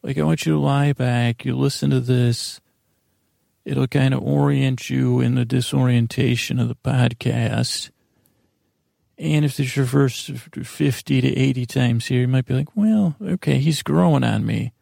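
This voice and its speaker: A man in his forties hosting a bedtime podcast, rambling haltingly and interrupting himself in a self-deprecating monologue. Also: mid-range voice, level tone, creaky, darkish tone, no echo, studio quality. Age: 40s. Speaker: podcast host.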